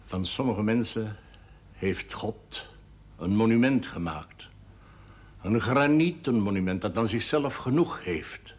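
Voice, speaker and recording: An actor playing a seventy-six-year-old priest, speaking slowly and solemnly in a husky voice, in a 1970s television drama. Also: male voice, on the low side, lively intonation. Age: 70s. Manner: slow, measured, solemn delivery. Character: old male priest, wise and melancholy.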